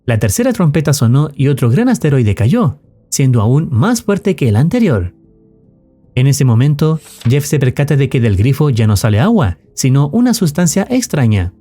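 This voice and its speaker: A man giving scripted narration of a horror story recap in a formal, measured storytelling manner. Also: medium pitch, very animated, warm tone. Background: music.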